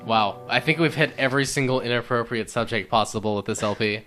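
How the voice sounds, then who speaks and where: Wry man, mid-pitched, with game music. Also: fast, a bit bright. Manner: wry, joking. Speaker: co-commentator of a let's play. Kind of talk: casual chat over game cutscenes. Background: video game music.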